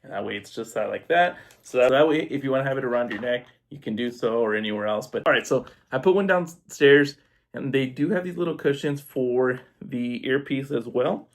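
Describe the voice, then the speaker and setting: A man's voice: medium pitch, brisk. Casual man reviewing a gadget. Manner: informal, step-by-step demonstrating. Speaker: product reviewer. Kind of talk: casual unboxing review to camera. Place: indoors at home.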